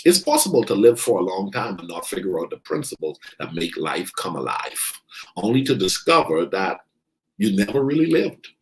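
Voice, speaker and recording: A man's voice: low voice, very animated, moderate pace, rough recording. A man from the Bahamas giving an emphatic motivational talk as a coach, repeating his key phrases for weight. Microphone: online video stream.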